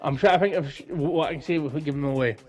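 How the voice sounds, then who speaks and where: A young adult man co-hosts a podcast in a casual, conversational style. His voice sits at an average pitch for a man, with faint music behind it. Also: very fast. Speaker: podcast co-host. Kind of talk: casual chat.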